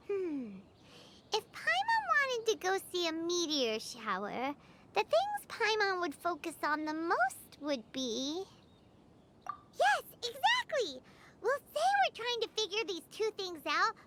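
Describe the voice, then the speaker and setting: A woman voicing a tiny, bubbly, excitable fairy companion with a squeaky childlike voice in an animated video game. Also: heavy background noise, exaggerated voice. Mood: excited. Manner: exclamatory, speaks of self in third person. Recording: game music playing behind. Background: loud playful game music.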